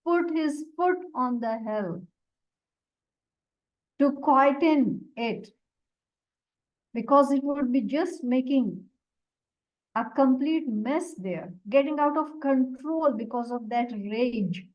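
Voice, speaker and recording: Slow, high-voiced woman Quran teacher. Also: expressive. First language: Urdu. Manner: deliberate, explains Arabic words one by one. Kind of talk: slow explanatory religious lesson. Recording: dull lo-fi recording.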